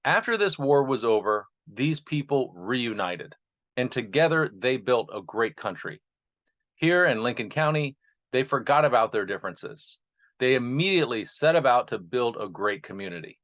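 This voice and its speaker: An earnest male host speaks as a narrator addressing his listeners. His voice sits at a medium pitch, and he delivers his words sincerely, as a presenter would. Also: animated, normal speed, a bit bright. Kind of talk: informal introduction, then reading a speech aloud.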